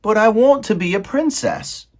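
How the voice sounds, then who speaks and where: A shrill, whining young serving girl, put on by a man reading a storybook aloud, coarse in her speech and quick to tears. Her high voice swoops wildly, with a husky edge. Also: lively intonation. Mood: whiny.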